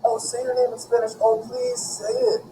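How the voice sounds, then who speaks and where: A man's voice: extremely high pitch, very animated, normal speed, warm tone, slight background noise, pitched above the performer's own voice, poor audio. A man voicing nosy, gushing teenage American schoolmates from a storybook, asking curious questions. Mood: eager, pleading.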